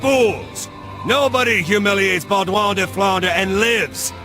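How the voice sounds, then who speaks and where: An actor playing a haughty, vengeful nobleman in a video game, shouting theatrically. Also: sits high, slow. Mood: furious. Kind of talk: video game cutscene acting. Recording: loud music behind.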